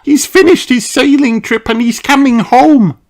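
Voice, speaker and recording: A storybook reader voicing an excited mother dog awaiting her husband. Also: sits high, a little slow, pitched above the performer's own voice. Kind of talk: storybook read-aloud.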